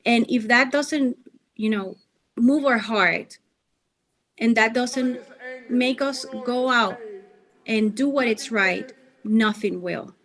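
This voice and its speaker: Slow, high-pitched woman speaking deliberately. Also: fairly bright tone, poor audio.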